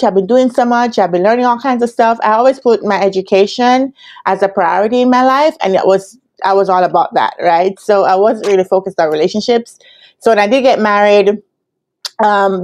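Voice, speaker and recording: Jamaican woman in her thirties, relaxed chatty vlogger speaking into a laptop webcam in a hotel room. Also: medium pitch. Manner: chatty, laughs often, talks straight to viewers. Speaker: vlogger and business analyst coach. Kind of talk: casual storytelling vlog. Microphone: laptop webcam at desk.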